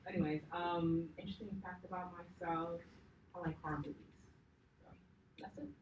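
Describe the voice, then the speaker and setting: A woman's voice: lowish voice, steady tone, normal speed, muffled recording. Distant woman student in an echoey room. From Brooklyn. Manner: casual, hesitant self-introduction. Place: classroom. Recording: distant, echoey classroom audio with room noise.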